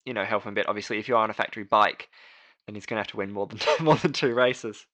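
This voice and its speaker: A man's voice: lowish voice, fairly even intonation, racing, pushed and bright. A man co-hosting a casual motorsport podcast, talking with lots of fillers and restarts. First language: English. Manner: chatty, frequent fillers and restarts. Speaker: podcast co-host.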